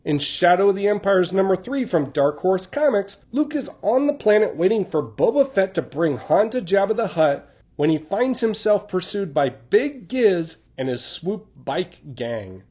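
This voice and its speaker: Guy narrating story recaps, pretty high voice, kinda husky and mellow. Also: very animated, a little slow, few pauses. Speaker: narrator, comics channel host. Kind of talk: scripted narration recapping stories.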